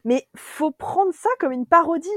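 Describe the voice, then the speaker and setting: Chatty French woman, quick laughs. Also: high voice, no room sound, clean studio sound. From France. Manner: chatty, animated, laughs often. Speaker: co-host reviewing a series. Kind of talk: casual podcast chat.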